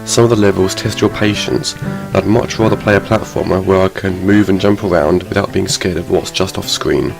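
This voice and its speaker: Deep, gravelly male narrator, measured review read over loud music. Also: steady tone. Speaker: game reviewer.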